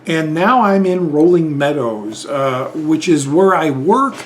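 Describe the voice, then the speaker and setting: A man's voice: a little high, expressive, slow pace, slight background noise. Rambling middle-aged man. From Chicago, Illinois. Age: 50s. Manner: rambling, hesitant, many fillers and restarts. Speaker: YouTube channel host. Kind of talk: casual tag video monologue.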